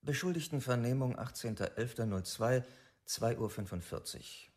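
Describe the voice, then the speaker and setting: An actor playing a police interrogator in a documentary radio drama, clipped, official and businesslike. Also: male voice, mid-range voice, expressive, normal speed, a little mellow, close to an everyday voice.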